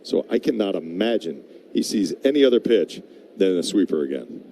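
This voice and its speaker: A man's voice: deep voice, sing-song, moderate pace, warm tone, a little hiss or hum. An American man giving chatty, emphatic color commentary on a live baseball broadcast, in an informal conversational way. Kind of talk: conversational live sports analysis and banter.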